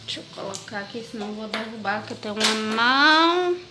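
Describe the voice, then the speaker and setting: A woman's voice: fairly high pitch, animated, fast, loud music behind the voice. A woman with a Brazilian accent chatting casually to viewers as a home vlogger, informally showing and explaining things as they go. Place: home kitchen, indoors. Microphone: handheld phone or camera, held by the speaker.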